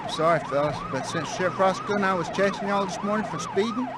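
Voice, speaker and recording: High-voiced, earnest Southern deputy. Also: male voice, wildly swooping pitch, fast. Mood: sheepish, apologetic. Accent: American South. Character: earnest, apologetic, naive young Southern deputy. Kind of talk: scripted video game acting. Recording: loud siren and traffic noise.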